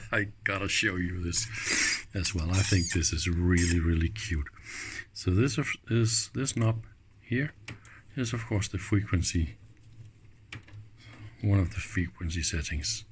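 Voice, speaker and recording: Slow-paced man thinking aloud. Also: deep voice. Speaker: host of an electronics teardown video. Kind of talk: casual hands-on commentary. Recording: clicks and faint background noise.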